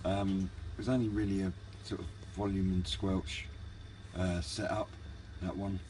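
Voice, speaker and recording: A man giving a casual, unscripted hobbyist product review in a hesitant, low-key, rambling way. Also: level tone, unhurried, a little mellow. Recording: clear background noise, room ambience.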